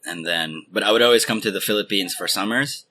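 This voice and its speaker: A man in his thirties from Hong Kong, a guest telling rambling, relaxed stories full of fillers. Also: on the low side, fast. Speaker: interview guest, filmmaker. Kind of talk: casual interview chat.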